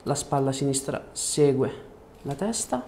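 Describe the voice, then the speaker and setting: A man's voice: medium pitch, lively intonation, unhurried, a little mellow, a little hiss or hum. A man in his thirties, a chiropractor, giving a patient short, reassuring instructions during a hands-on exam. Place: small treatment room indoors. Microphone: lapel mic.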